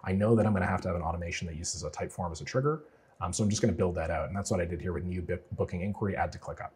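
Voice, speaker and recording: A man with a low voice that sounds raspy from a lingering cold. He talks briskly and casually as he explains a software build step by step on screen. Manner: casual, explanatory, loose with fillers. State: getting over a cold. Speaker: automation freelancer teaching a client build. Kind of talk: screen-share tutorial walkthrough.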